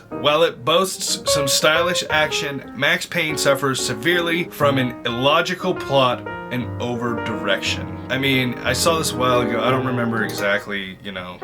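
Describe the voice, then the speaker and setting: A man in his twenties giving casual, opinionated reaction commentary in informal, slangy speech. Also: medium pitch. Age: 20s. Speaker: host of a video reaction show. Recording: music behind the voice.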